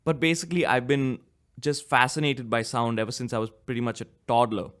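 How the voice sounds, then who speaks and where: A man's voice: mid-range voice, little pitch movement, fairly quick, fairly bright tone. A man in his twenties with a slight cough hosts his own solo podcast, rambling casually and correcting himself. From India. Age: 20s. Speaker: podcast host.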